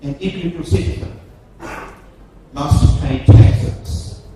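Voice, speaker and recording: An Australian man lectures at an unhurried, measured pace, hammering home each point with repeated phrases and firm stresses. His voice sits slightly high and reaches the mic from a distance. A big, echoing hall and a steady hiss of noise surround it. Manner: slow, emphatic, repetitive phrasing with strong stresses. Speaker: guest lecturer. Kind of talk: seminar lecture. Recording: very echoey hall from far off, noisy.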